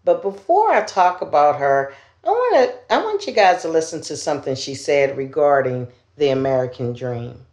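Low-voiced chatty woman podcast host. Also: very animated, normal speed, reverberant. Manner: loud, chatty, trying to speak more softly. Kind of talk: casual podcast talk.

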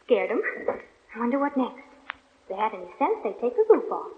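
High polite curious little girl talking to herself, muffled old radio. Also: sing-song, brisk, slightly rough. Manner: polite, wondering, talks to herself. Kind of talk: 1940s radio acting. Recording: dull old radio recording.

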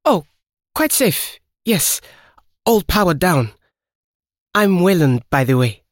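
A nervous young techsmith, stammering and eager, with a voice pitched well above the reader's own, as a male narrator puts him on. He sounds bright and lifted, in a dry, close recording. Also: sing-song, takes it slowly, dry recording, exaggerated voice.

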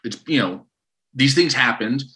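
A man in his thirties co-hosting a casual podcast, telling stories in an animated, rambling way and often restarting his sentences. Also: medium pitch, unhurried. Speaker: podcast co-host.

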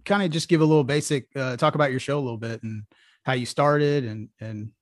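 A man's voice: medium pitch, fairly quick, no room sound, studio quality. Rambling podcast host, man. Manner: rambling, many fillers and restarts. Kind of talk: casual interview.

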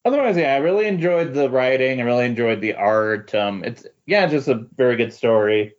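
Halting, rambling man, slightly dull sound. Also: medium pitch, expressive. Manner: halting, rambling, self-correcting. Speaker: returning podcast guest. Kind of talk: casual chat about comic books.

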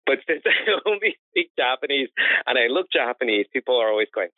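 A man's voice: medium pitch, big pitch swings, brisk, no room sound. A man being interviewed about his life and work as an interior designer, telling stories at length and laughing often. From United States. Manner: chatty storyteller who laughs often. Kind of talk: conversational interview. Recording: tinny phone-like line, dry.

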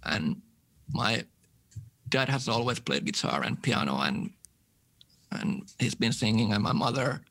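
Man, mid pitch, relaxed, laughs easily, casual interview guest. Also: unhurried, pauses often. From Finland. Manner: relaxed, laughs easily, detailed gear talk. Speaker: guest musician.

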